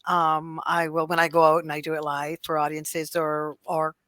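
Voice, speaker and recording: A fairly low-pitched, middle-aged woman with a bright, forceful tone, talking casually as an interview guest. She laughs often and restarts her sentences as she goes. The recording is dry and close, with no room sound. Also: completely flat delivery, moderate pace, dry recording. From Barbados, raised in Toronto. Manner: chatty, laughs often, many restarts and fillers. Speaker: guest, cultural sensitivity trainer and singer.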